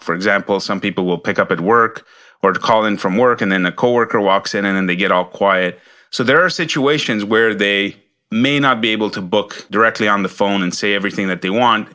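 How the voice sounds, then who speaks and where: Male business coach, lowish bright voice, emphatic and instructive podcast monologue. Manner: emphatic, instructive, repeats key points for stress.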